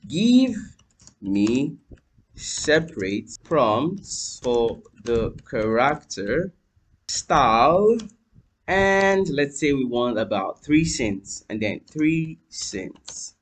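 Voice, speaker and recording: A young man hosting a screen-recorded software tutorial at his home computer, talking viewers through each step and spelling out what he types. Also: medium pitch, drawn-out words, slight background noise. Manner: lively, addresses viewers as "you guys", spells out letters while typing. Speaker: tutorial host. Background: keyboard typing.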